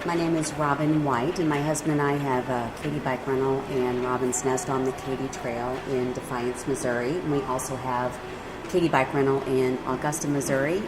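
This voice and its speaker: Low-voiced business owner lady introducing herself, steady and thankful, noisy background. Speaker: bike rental and shuttle business owner. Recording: background noise around the voice.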